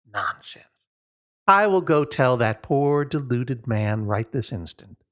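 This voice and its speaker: A man's voice: medium pitch, animated, slow. A man voicing a patient, condescending storybook mother, prim and lilting as she explains things reasonably. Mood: dismissive.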